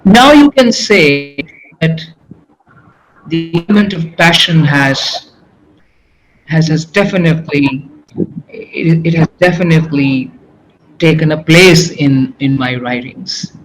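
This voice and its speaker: A middle-aged man with a Pakistani English accent, answering interview questions about his poetry in a halting, reflective way, repeating words and saying "you know" and "you see" often. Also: fairly high pitch, fairly bright tone, poor audio. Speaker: poet and English professor, guest. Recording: faint background noise.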